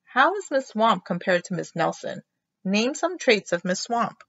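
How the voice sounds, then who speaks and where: A woman teacher reading a storybook aloud to children in an expressive, instructive way, on a slightly dull recording. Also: medium pitch, a little slow, vocal fry. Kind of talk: storybook read-aloud narration.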